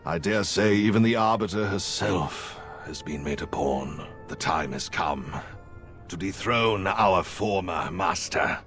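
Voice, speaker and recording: A grave rebel nobleman from a fantasy game, low-voiced and unhurried. He speaks formally, like a plotter weighing each word, with faint music behind him. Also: lively intonation, fairly bright tone, heavily acted. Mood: grave resolve. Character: solemn, conspiratorial rebel noble plotting against his former master. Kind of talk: dramatic video game acting.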